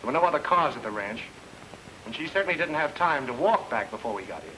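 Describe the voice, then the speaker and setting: An actor playing a wry, quick-witted amateur sleuth in an old-time radio detective drama, reasoning casually aloud. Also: male voice, mid-range voice, lively intonation, sharp tone, slight background noise, poor audio. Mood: casual, shrewd.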